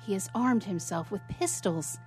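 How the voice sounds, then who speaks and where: A woman's voice: mid-range voice, lively intonation, normal speed, a little mellow, soft background music, lightly acted. A woman playing an anxious, sorrowful young bride and devoted sister in a radio melodrama, with formal theatrical diction. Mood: worried, solemn. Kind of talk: old melodramatic stage play as radio drama.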